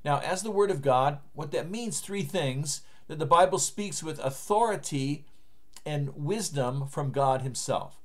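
A pastor in his fifties preaches straight to a webcam from a home study lined with books. His voice sits a touch higher than most men's, and his delivery is earnest and emphatic. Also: animated, measured pace, a bit bright. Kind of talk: recorded online sermon. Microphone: webcam framing, seated at desk.

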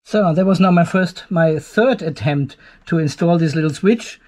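A man's voice: fairly high pitch, normal speed, darkish tone. An older man with a German accent, narrating hands-on work casually and informally as he thinks aloud in a garage workshop, with some self-deprecation. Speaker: DIY video host.

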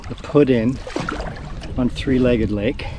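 A man chatting casually to camera outdoors, with a laid-back, musing and slightly halting delivery. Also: medium pitch, noisy background. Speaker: outdoor vlogger. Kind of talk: casual vlog chat to camera. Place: outdoors on a lake in a canoe.

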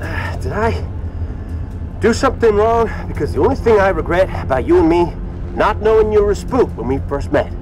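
A man's voice: high voice, expressive, moderate pace, a little mellow, low-quality recording. An actor playing a cocky, wisecracking male soldier in a video game, sardonic and flippant. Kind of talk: video game cinematic acting.

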